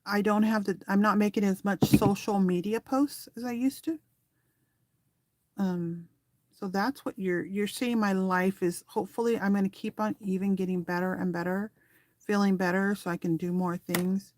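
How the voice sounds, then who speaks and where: Woman doing a diy craft tutorial, medium pitch, chatty and kinda rambly, thinks out loud, no echo. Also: steady tone, moderate pace. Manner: rambling, conversational, thinking aloud while working. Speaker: craft tutorial host and small online shop seller. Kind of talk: casual hands-on craft tutorial chat.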